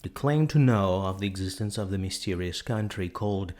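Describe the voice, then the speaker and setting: Male audiobook narrator, fairly deep, delivering a dramatic, measured literary reading. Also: expressive, darkish tone.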